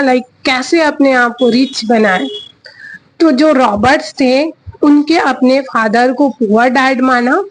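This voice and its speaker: A woman with a high voice talks slowly through a book's lessons for her viewers, stopping and restarting often as she searches for words. She mixes English words into her speech and keeps asking her audience questions before answering them herself. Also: a little hiss or hum, low-quality recording. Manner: hesitant, many restarts and fillers, mixing in English words; asks the audience rhetorical questions. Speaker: vlogger explaining a book. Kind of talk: casual explainer talk.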